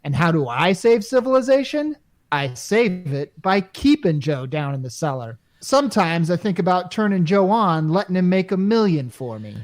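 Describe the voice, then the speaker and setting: A man's voice: sits high, very animated, moderate pace. A man playing a wry, cocky, down-to-earth repairman hero in a retro radio comedy. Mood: wry, confiding. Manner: wisecracking, casual.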